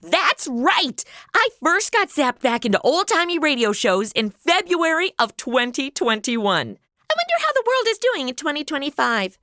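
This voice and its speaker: A woman playing an excitable, sulky young woman in a comic audio drama, with a bubbly, sassy, dramatic delivery. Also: high-pitched, moderate pace, no room sound, studio quality, full character voice. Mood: excited. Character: excitable, sulky young woman zapped into old radio shows. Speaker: star of a comedy audio drama podcast. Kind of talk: comic audio drama acting, casual modern speech.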